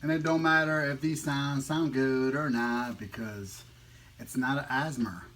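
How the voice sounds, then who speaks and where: A man's voice: medium pitch, fairly even intonation, a little slow, fairly bright tone. A man vlogging casually over a meal, talking in an informal, slangy, teasing way. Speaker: mukbang vlogger. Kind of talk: casual chat while eating.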